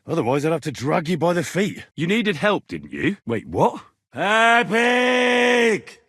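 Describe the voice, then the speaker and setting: An earnest young medieval commoner for a video game. His voice is husky and swings expressively, with a cheeky, dogged, bantering way of speaking. The recording is close and dry. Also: high voice, very animated, measured pace, fairly bright tone, no room sound, full character voice. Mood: exasperated. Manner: colloquial, questioning, bantering. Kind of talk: video game character dialogue.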